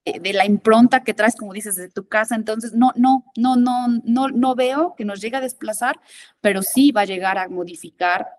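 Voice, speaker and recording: A woman's voice: fairly high pitch, moderate pace. A woman speaking as a guest expert in a conversational interview, emphatic and often repeating words as she searches for them.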